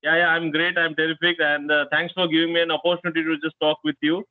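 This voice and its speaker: Man hosting, halting speech full of fillers, thin phone-like dry audio. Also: fairly high pitch, level tone, no echo. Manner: hesitant, many fillers, tag questions like "right?". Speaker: channel host, conversation partner. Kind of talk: casual English practice chat. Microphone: online call. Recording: tinny dry phone-like call line.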